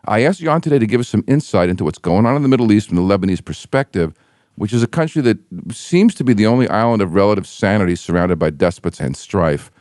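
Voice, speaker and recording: A man hosting a radio show, giving a scripted introduction and asking measured, prepared questions. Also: lowish voice, animated, a little mellow, no room sound, studio quality.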